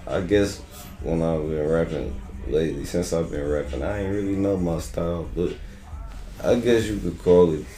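Deep-voiced laid-back rapper. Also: male voice, moderate pace, warm tone, reverberant. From Detroit, USA. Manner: laid-back, loose slang with frequent "you know what I'm saying". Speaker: rapper guest. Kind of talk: casual interview chat.

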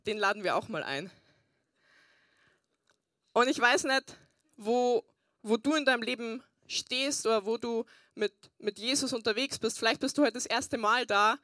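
A woman's voice: on the high side, normal speed, pushed and bright, no echo, studio quality. A young woman pastor preaching a sermon and telling her personal testimony, earnest with frequent fillers. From Bavaria, Germany.

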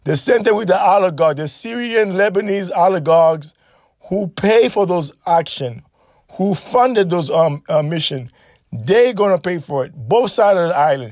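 A man who grew up in Haiti delivers a fervent political monologue, hammering his points with repetition. His voice sits a little high for a man. The recording is dry and close, with no room around it. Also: lively intonation, normal speed, darkish tone, dry recording. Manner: emphatic, repetitive, preachy. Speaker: online commentator.

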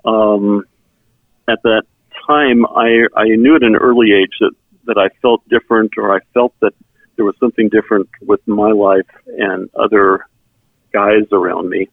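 A middle-aged man from South Texas whose first language is German, telling his personal story in an earnest, halting way as an interview guest. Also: fairly low pitch, steady tone, dry recording. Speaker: interview guest, member services volunteer. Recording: tinny phone-like sound, band-limited.

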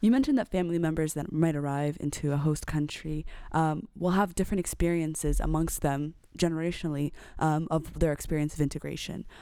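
Interviewer, warm mellow voice, dry close sound, lots of ums. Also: medium pitch, fairly quick, few pauses, clear, resonant tone, dry recording. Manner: careful, filler-laden questioning. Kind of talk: academic interview question.

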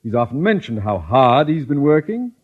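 A man's voice: medium pitch, very animated, moderate pace, husky. A man playing a pompous, scheming middle-aged school principal with formal, theatrical diction in an old-time radio comedy. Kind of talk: 1940s-50s radio comedy acting. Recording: dull old radio recording.

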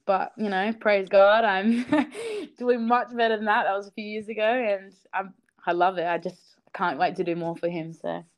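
A woman's voice: medium pitch, fairly even intonation, normal speed. Upbeat young woman. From South Australia. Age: young adult. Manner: earnest and upbeat, laughs often, fillers like "you know". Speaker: guest. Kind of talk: casual podcast interview.

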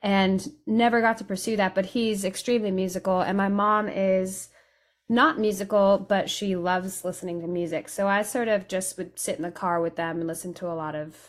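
A woman who grew up in Europe, talking casually as an interview guest, explaining things reflectively with thinking pauses. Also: mid-range voice, steady tone. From Europe: Italy, England and France.

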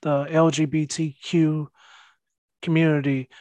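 A man's voice: fairly high pitch, flat delivery, measured pace, a little mellow, no echo. Dry-sounding male podcast host, hesitant. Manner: hesitant, many ums and uhs. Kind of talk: interviewing a guest.

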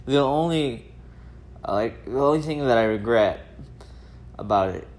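A man's voice: medium pitch, lively intonation, unhurried, a little mellow. An American man hosting a casual personal audio diary, talking in a chatty, rambling way. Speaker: podcast host. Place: indoors at home, room with laminate floor.

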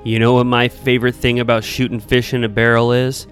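A man's voice: mid-range voice, monotone, fairly quick, voice pushed up, lightly acted. A cocky policeman, voiced by a man storyteller. He swaggers through corny tough-guy lines in a story, with faint music underneath. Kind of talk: storytelling character voice.

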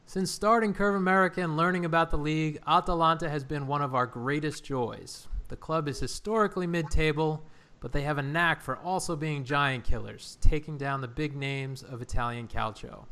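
Bright-voiced enthusiastic male podcast host. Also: on the high side, steady tone, normal speed. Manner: enthusiastic, laughs easily. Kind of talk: casual podcast interview.

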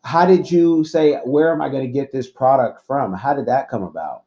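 Male interviewer, relaxed dark tone, halting and repetitive delivery, dull lo-fi sound. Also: medium pitch. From East Oakland, California. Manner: halting, repeats words, many fillers. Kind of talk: casual interview. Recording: dull lo-fi recording.

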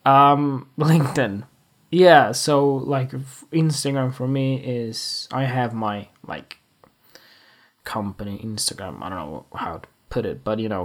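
A young adult photographer from Sweden, talking casually to camera in a rambling, chatty way full of fillers and restarts. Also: mid-range voice. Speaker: freelance photographer. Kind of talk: casual vlog talk to camera.

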